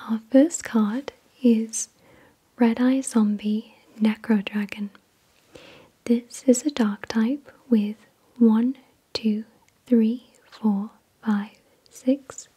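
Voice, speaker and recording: A woman whispers in a very breathy, airy voice with almost no tone in it. She takes her time, placing each phrase slowly and carefully. The delivery is soothing ASMR, soft and mellow, like someone reading aloud to help a listener drift off. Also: fairly high pitch, low-quality recording. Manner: slow, careful, soothing enunciation. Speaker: ASMR video host. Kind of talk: soft-spoken ASMR card unboxing, reading descriptions.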